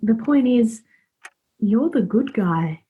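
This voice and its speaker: Woman as Laura, chatty, slightly husky and cheeky. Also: a little high, expressive, slow pace, narrow, tinny audio, full character voice. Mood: earnest, forthright. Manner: informal, rambling, forthright. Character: Laura, chatty, cheeky, friendly young woman befriending Death. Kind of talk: radio play acting.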